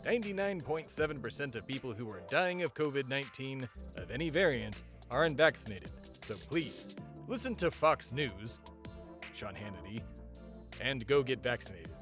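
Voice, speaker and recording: A man's voice: mid-range voice, lively intonation, moderate pace, over music, close to an everyday voice. A man playing a pompous, self-important male patriot who hosts a comedy podcast in a mock-serious, grandiose way. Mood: self-important, casual. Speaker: podcast host. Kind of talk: mock-serious comedic monologue and dramatic reading.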